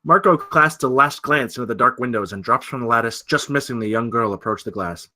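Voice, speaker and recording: A man's voice: mid-range voice, fairly quick, pushed and bright. A man narrating screenplay stage directions in a dramatic, scripted style at a table read.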